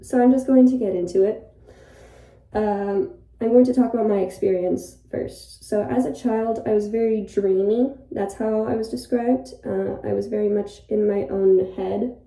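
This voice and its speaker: A woman's voice: mid-range voice, steady tone, moderate pace. A young woman from Ireland whose first language is Polish, talking in a reflective, rambling way in a personal vlog. She is filming in a bathroom. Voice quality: creaky. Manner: reflective, rambling, soft-spoken. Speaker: vlogger. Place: bathroom, sitting by the bathtub. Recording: echoey bathroom, distant from the mic.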